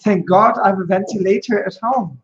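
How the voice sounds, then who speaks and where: A man's voice: high-pitched, brisk. German-accented man hosting, lo-fi sound. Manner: chatty, storytelling with fillers. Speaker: online co-host. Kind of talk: casual stand-up hosting.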